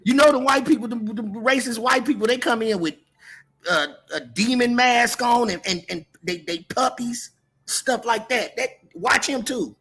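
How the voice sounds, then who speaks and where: A man's voice: very high-pitched, normal speed, sharp tone, breathy edge. An American man from California hosting a casual livestream, talking in a slangy, repetitive, confrontational way as he moderates the chat. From Oakland, California, US.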